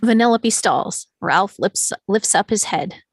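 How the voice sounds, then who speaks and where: A woman narrates stage directions for a script read. Her voice sits at a medium pitch, and the delivery is that of scripted narration. The sound is very dry and close. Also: animated, slow pace, breathy edge, no room sound.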